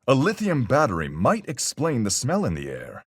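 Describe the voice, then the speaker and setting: An actor playing a gruff, level-headed sea captain with salty slang and a folksy, measured manner in a video game. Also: male voice, medium pitch, huge pitch swings, no room sound, studio quality. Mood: analytical, measured. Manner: salty seafaring slang, measured deductive delivery. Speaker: voice actor in a fan-made mystery game. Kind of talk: video game character voice lines.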